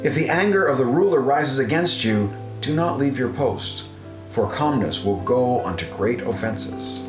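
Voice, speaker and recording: A man narrating an audio Bible, reading scripted scripture in a measured, solemn recitation. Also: medium pitch, lively intonation. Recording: roomy echo, guitar music underneath.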